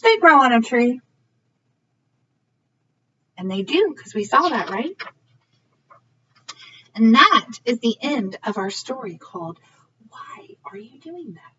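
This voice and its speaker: Woman, high animated voice, children's read-aloud, teacherly, questioning check-ins, slightly muffled distant mic. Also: unhurried, pauses often, husky. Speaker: children's story reader.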